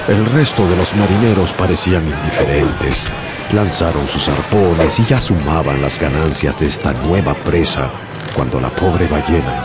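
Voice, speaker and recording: A man narrating a radio adaptation of a sea story in a measured storytelling voice. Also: extremely low pitch. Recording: music bed under the voice.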